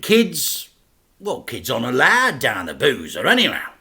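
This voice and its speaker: A man voicing an excitable, working-class middle-aged dad who laughs and talks loudly. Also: mid-range voice, a little slow, full character voice. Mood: boisterous. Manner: laughing, loud and boisterous.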